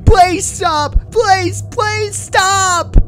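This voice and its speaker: A man's voice: extremely high pitch, steady tone, extremely slow, a bit bright, faint music behind, pitched above the performer's own voice, exaggerated voice. A man voicing a terrified female pegasus pony victim in a cartoon fan story, pleading in a strained voice. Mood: begging.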